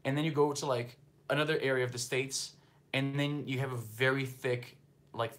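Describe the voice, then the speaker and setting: A man's voice: medium pitch, steady tone, moderate pace, fairly bright tone. A Brazilian-born man with a slight Brazilian accent hosts a casual livestream, chatting loosely and reading viewer comments. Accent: slight Brazilian Portuguese. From northeastern Brazil. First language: Portuguese. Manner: rambling, filler-heavy, loose and conversational. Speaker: livestream host.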